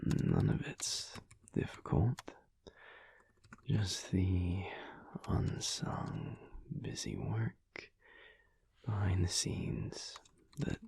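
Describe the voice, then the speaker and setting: A man playing a laid-back, teasing alpha werewolf husband in an intimate audio roleplay, talking softly and slowly with dry humour in a low, gravelly voice. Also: very animated, no room sound, heavily acted. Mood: casual, wry. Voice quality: low, husky, gravelly whispery voice. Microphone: close microphone.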